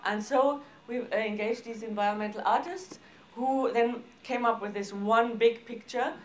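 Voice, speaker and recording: Halting woman presenter. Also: fairly high pitch, fairly even intonation, low-quality recording. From Austria. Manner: halting, informal, frequent hesitations and broken sentences. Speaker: arts organisation director presenting projects. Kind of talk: seminar presentation with slides.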